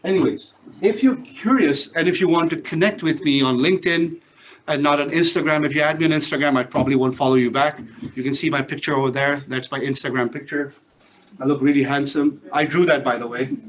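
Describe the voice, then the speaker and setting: A man's voice: a little high, steady tone, a little fast, a little hiss or hum, rough recording. A middle-aged man with a Singaporean English accent, a business lecturer introducing himself to his class in a chatty, joking way. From Pakistan, grew up in Singapore. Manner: chatty, joking asides, checks in with "all right?".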